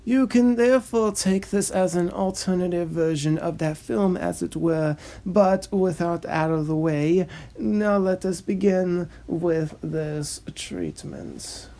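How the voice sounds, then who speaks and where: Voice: medium pitch, warm tone. A narrator reading a script in a formal, pompous, long-winded and droning way. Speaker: narrator and host. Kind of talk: scripted narration of a cancelled film pitch.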